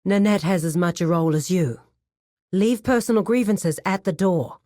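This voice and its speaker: An actress playing a composed, businesslike crime cartel administrator in a video game, speaking in a formal, measured, authoritative way. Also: female voice, on the low side, dark tone, dry recording, clean studio sound, close to an everyday voice. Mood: stern, reprimanding. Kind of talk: scripted video game character dialogue.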